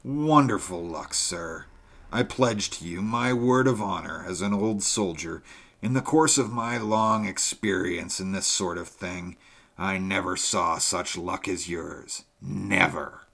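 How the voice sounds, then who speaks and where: A hoarse old soldier, as a male storyteller puts him on, flattering and boisterous. The voice sits fairly low and booms out loud when he urges. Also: takes it slowly, full character voice. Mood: flattering, urging. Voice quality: hoarse, barrack-room manner.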